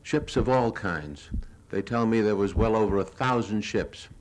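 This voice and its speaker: Low-voiced older American man narrating film. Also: moderate pace. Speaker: newsreel reporter giving a lecture. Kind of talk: live lecture narrating his own war film. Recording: 1970s archival lecture audio recording.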